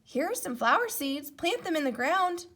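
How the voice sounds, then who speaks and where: A woman voicing a patient, sensible, kind male frog in a children's storybook, with a gentle, reassuring way of talking. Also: high-pitched, very animated, normal speed, voice pushed up, heavily acted. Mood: patient, advising.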